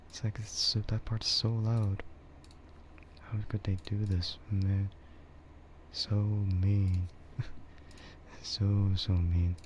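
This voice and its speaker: A man streaming in a casual, rambling ASMR chat, speaking softly and hesitantly in a meandering way. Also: fairly low pitch, level tone, drawn-out words, long pauses. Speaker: VTuber streamer. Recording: dull lo-fi microphone with faint noise.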